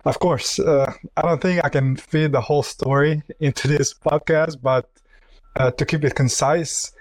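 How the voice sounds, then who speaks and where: A man's voice: on the high side, steady tone, measured pace, no echo, poor audio. A man from Croatia with a Croatian accent, speaking as a company chief and guest in a conversational interview. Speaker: company CEO, podcast guest.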